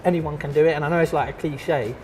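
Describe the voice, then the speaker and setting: A man, an artist and teacher chatting casually in an interview, relaxed and full of fillers and restarts. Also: on the high side, fast talker, a little hiss or hum, rough recording. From London.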